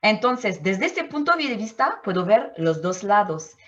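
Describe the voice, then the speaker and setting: A woman's voice: medium pitch, big pitch swings, measured pace, a bit bright, lo-fi sound. A woman from Martinique whose first language is French, speaking Spanish as an anthropologist answering interview questions thoughtfully and often searching for words. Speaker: anthropologist guest.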